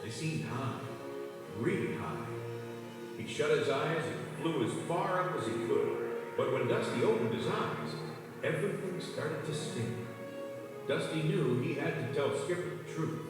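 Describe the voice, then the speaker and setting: Lively man narrating a children's storybook. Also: fairly high pitch, a little slow. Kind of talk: scripted children's read-along narration. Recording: echoey, distant, long reverb, music behind.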